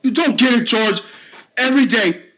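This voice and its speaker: A man playing a bullied man in his fifties, shouting high and cracking into sobs, in an amateur drama. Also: lively intonation, normal speed, poor audio. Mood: distraught. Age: 50s. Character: bullied fifty-year-old man, desperate and tearful.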